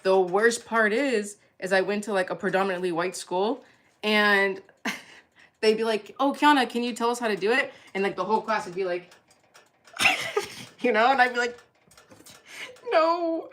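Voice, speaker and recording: A woman's voice: medium pitch, moderate pace, pauses often, a bit bright. Chatty young woman cooking live. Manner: chatty and giggly, answering viewer comments. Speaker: livestream cooking host. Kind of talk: casual chat while cooking on a livestream.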